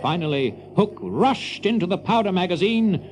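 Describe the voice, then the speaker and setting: A male storyteller with a lively, wide-ranging voice that sits a little high. He reads scripted storybook narration dramatically, with music clearly playing behind him. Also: normal speed.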